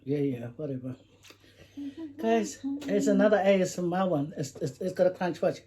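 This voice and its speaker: A woman's voice: lowish voice, animated, normal speed, dark tone, slight background noise. A middle-aged woman chatting casually with her daughter while eating on camera, laughing often and talking between bites. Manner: chatty, giggly, talks while chewing. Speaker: mukbang vlogger, mother.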